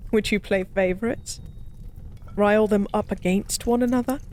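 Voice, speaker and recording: A woman playing a forgotten goddess as a tipsy pub stranger in an intimate audio roleplay, speaking softly in slow, wistful philosophical rambles. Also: medium pitch, steady tone, natural voice. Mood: curious, playful. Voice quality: soft. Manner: slow, musing, rambling, teasingly cryptic.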